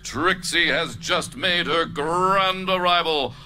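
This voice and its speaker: A man's voice: on the high side, slow, pushed and bright. A man reading stage directions as the narrator of a live cartoon script reading. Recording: live reading with music behind.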